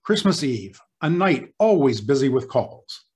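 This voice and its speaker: A man playing an earnest, flustered hospital superintendent in an old-time radio drama, speaking haltingly and repeating himself. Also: mid-range voice, sing-song, darkish tone. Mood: troubled, earnest.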